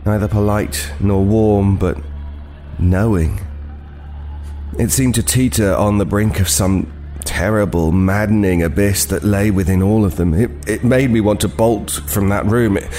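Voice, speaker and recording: An actor playing an uneasy homeless man who narrates his horror tale in a halting, brooding way. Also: on the low side, steady tone, mellow, natural voice. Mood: fearful, hesitant. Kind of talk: dramatic first-person horror narration. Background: music.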